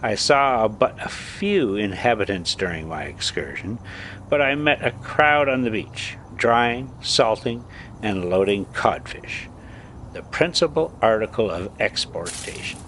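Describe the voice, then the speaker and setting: A man reading a story aloud as an amateur host, halting and stumbling over words now and then, with casual delivery. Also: on the low side, lively intonation, a bit bright, a little hiss or hum, poor audio. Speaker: host reading stories aloud for a pet video channel.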